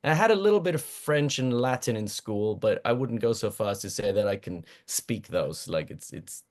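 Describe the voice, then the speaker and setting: A man's voice: fairly low pitch, expressive, moderate pace, darkish tone. A man whose first language is German, a voice actor guest chatting casually in an interview, rambling and self-deprecating, laughing often and restarting his sentences.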